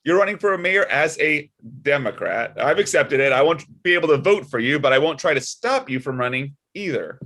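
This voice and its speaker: A man playing a prim, pious older dad, high-pitched. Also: expressive, brisk, pushed and bright. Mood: primly mocking. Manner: sanctimonious, sugary jabs. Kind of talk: comedic script table read.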